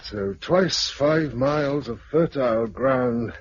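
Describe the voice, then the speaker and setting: An actor playing a thoughtful, wry husband and novice farmer in a 1950s British radio drama. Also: male voice, mid-range voice, slow pace, lightly acted. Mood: wry, musing. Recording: muffled, noisy vintage 1950s radio recording.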